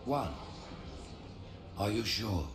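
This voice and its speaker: An actor playing a grave alien hierarch in a sci-fi game, delivering lofty, ceremonious and deliberate judgments. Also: male voice, lowish voice, lively intonation. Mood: probing. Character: stately alien high priest, grave, condemning hierarch. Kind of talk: cinematic sci-fi game acting.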